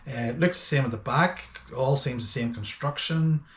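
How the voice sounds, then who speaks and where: A man's voice: mid-range voice, normal speed. Casual or man, noisy recording. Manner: informal, hesitant, thinking aloud. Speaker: host of a boating gadget channel. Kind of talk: casual hands-on product review.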